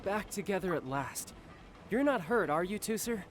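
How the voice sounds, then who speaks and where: An actor playing a playful, protective big brother in a video game, smooth-talking, teasing and reassuring. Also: male voice, sits high, animated, moderate pace. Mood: encouraging. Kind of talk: scripted video game voice acting. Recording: game music behind the voice.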